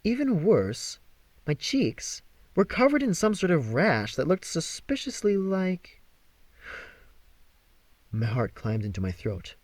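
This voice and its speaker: A man reading a novel aloud as an audiobook narrator, with an expressive, dramatic delivery. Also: on the high side, slow, long pauses, dry recording. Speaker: amateur audiobook reader.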